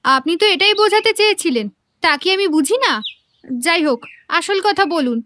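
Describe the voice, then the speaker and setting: A very high female cartoon voice, acted by a woman, as a village wife loyal to her family. She is indignant and defensive. Her delivery challenges the listener. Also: animated, a little fast, noisy background. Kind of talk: Bengali moral-story cartoon dubbing.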